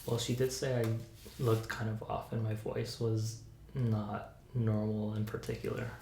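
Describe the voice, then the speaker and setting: A soft-spoken young adult playing a patient in an ASMR roleplay, giving hesitant, understated answers. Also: medium pitch, level tone, a little slow. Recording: echoey room, faint hiss.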